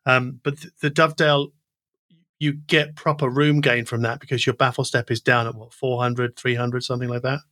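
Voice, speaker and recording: A man's voice: medium pitch, flat delivery, fairly quick, a bit bright, no room sound. A middle-aged man hosting a conversational technical interview, reflective and inquisitive in the way he talks. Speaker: podcast host interviewing an audio engineer.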